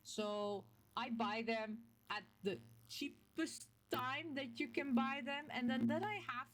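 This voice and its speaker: A woman from the Netherlands with a Dutch accent chats casually to her viewers as a gaming vlogger, telling things in an animated, playfully emphatic way with little acted-out quotes. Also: high-pitched, slow pace. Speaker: video game vlogger and host. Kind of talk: casual, chatty talk to camera.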